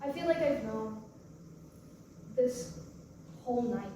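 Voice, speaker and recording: A woman acting a distraught, alcoholic mother in limbo in a staged play reading, pleading for her children in a halting, stammering way. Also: fairly high pitch, expressive, dark tone. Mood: subdued, dazed. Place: indoor performance space before an audience. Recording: echoey hall with faint noise.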